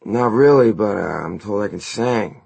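A man voicing an easygoing young singer in an audiobook, with slow, slightly drawling surfer diction. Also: fairly low pitch, animated, creaky, a little mellow, breathy edge, dry recording, pitched above the performer's own voice, full character voice.

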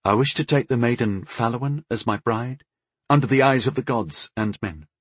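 A man voicing an earnest, courteous country knight with rough edges in a fantasy audiobook, dignified and formal. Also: medium pitch, normal speed, no room sound, close to an everyday voice. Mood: bold. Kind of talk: audiobook character voice.

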